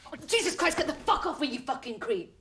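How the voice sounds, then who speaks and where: A woman playing a sweet, overly optimistic, anxious girlfriend in a dark comedy film, speaking in a hesitant, apologetic way. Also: high voice, big pitch swings, fairly quick, slight background noise, heavily acted. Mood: furious. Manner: hesitant, apologetic, self-effacing.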